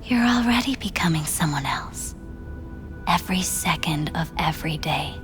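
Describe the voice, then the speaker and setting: A woman playing a knowing club companion in a video game, speaking in a breathy, deliberate whisper. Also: low voice, expressive, over music. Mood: hushed, intimate. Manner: slow, deliberate. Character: hushed, knowing female pleasure-club companion, guarded.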